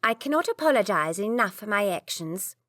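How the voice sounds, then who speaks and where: A woman's voice: mid-range voice, very animated, a little fast, sharp tone, dry recording, studio quality. A woman voicing a nervous young noblewoman who pleads earnestly, in a storybook reading. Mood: pleading.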